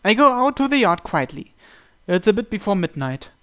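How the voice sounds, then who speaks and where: A man's voice: sits high, sing-song, normal speed, a bit bright, clear, resonant tone. A man narrating a visual novel in the first person, reading from the script.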